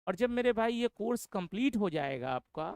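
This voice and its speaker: A man's voice: sits high, very animated, normal speed, a little mellow, clear, resonant tone, no echo, studio quality. A man introducing an English course to exam students, repeating his points and often calling them brother. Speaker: English teacher.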